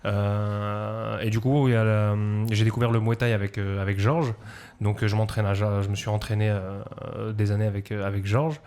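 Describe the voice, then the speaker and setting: Guest: man, lowish voice, halting, filler-heavy answers. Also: level tone, darkish tone, a little hiss or hum. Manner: hesitant, many fillers. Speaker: guest, combat sports coach. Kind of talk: radio interview.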